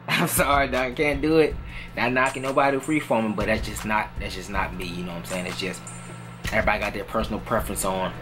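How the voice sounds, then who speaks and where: A relaxed young man, speaking in a fairly low voice. He talks loosely and casually, circling back with "you know what I'm saying," while clear music plays under him. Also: fast talker. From New Orleans, Louisiana. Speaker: vlogger answering viewer questions. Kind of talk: casual Q&A chat.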